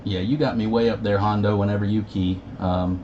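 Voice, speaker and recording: A man's voice: on the low side, steady tone, brisk. A man, a CB operator hosting a channel in casual radio chat. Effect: CB radio sound. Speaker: CB operator running a live stream. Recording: muffled, noisy CB radio capture.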